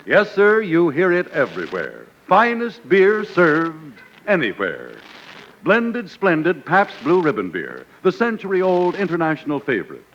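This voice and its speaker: A man's voice: a little high, wildly swooping pitch, a little slow, a little hiss or hum. A man reading a 1940s radio beer commercial with a polished, emphatic announcer delivery. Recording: dull lo-fi 1940s radio broadcast.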